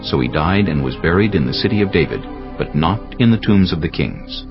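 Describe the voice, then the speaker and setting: A man with a gravelly voice giving measured, solemn narration for a dramatized audio Bible. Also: low voice, lively intonation. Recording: dull audio with soft music behind. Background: soft background music.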